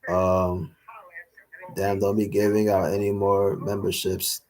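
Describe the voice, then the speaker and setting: A young man hosting a casual livestream Q&A, rambling with lots of fillers and slang, his voice a little raspy from talking all day. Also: sits low, completely flat delivery, takes it slowly, a little mellow, poor audio. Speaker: livestream host answering chat.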